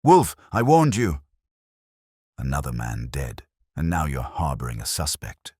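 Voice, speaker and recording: A deep-down, husky, gruff male inspector, acted by a narrator. He is blunt, impatient and demanding, with big swings in pitch, straight out of a detective audiobook. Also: huge pitch swings, a little slow, a little mellow, no echo, studio quality, full character voice. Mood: angry, impatient.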